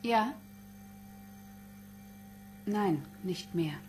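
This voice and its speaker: An actress playing a bitter, defensive wife under questioning in a crime radio play, speaking curtly. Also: female voice, medium pitch, very slow, darkish tone, a little hiss or hum. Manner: curt, defensive. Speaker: actor in a crime radio drama. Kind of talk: German radio play acting.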